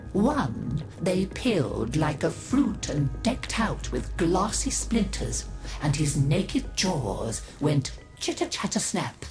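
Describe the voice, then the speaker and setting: Slow, deep, theatrical old grandmother storyteller. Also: heavily acted. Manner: savouring, dramatic, drawn-out delivery. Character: theatrical old grandmother telling gruesome tales. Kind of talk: theatrical scripted storytelling in a video game.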